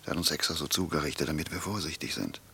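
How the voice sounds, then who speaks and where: Stubborn fugitive soldier, radio play, husky and forceful, colloquial, insistent, dry mockery. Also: male voice, rumbling low, expressive, racing, heavily acted. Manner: insistent, dryly mocking, colloquial. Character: stubborn, wry French prisoner of war on the run.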